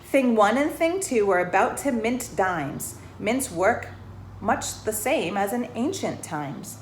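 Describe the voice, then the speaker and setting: A woman reading a rhyming children's storybook aloud in an expressive way, pausing to put questions to the young listeners. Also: medium pitch. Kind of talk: children's storybook read-aloud, rhymed verse with asides to listeners. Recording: roomy echo, faint background noise.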